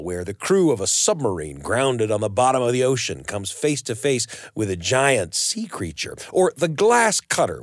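A man's voice: medium pitch, no room sound, clean studio sound. A man hosting a storytelling show, delivering a scripted, upbeat introduction.

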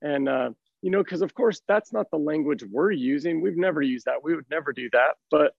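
A head coach who is losing his voice, speaking in a raspy tone and laughing easily between thoughts. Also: fairly high pitch, brisk, no echo, narrow, tinny audio. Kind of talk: phone interview.

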